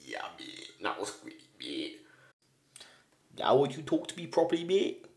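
A loud, rowdy man goofs around in crude comedic roleplay while gaming, tacking "mate" onto almost everything. His gravelly voice swoops wildly up and down. It carries a roomy echo. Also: mid-range voice, wildly swooping pitch, unhurried.

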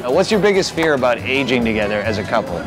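A man in his thirties hosting a prank segment, interviewing couples in a deadpan, mock-serious way. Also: medium pitch, quick pace, loud music behind the voice, poor audio. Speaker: prank show host. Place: city street and studio set.

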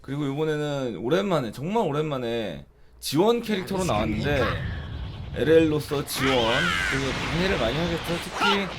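A man with a hoarse, cracking, sore-throated voice giving casual commentary as the host of a gaming video. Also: a little high, sing-song, moderate pace. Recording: loud music behind the voice. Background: loud music.

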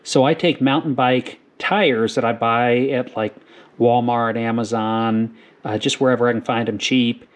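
A middle-aged man hosting a farm channel, explaining a do-it-yourself project in a relaxed, rambling way with lots of fillers, filmed in a garage on an action camera. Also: mid-range voice, measured pace. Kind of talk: casual how-to video narration. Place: garage, then outdoors on a farm lawn.

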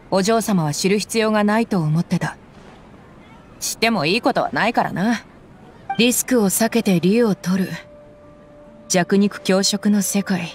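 A woman voicing a tough young mercenary who talks in a rough, casual way in a game cutscene. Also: medium pitch, close to an everyday voice. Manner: rough, casual, gruff slang. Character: tough young female mercenary, blunt, easygoing. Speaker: game character.

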